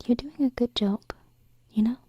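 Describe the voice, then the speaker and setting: A woman playing a gentle, affectionate alien princess in an ASMR roleplay, speaking softly and reassuringly into a close binaural microphone. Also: a little high, slightly rough, no room sound, muffled recording. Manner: soft, slow, reassuring, pausing. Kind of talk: ASMR roleplay, intimate whispering.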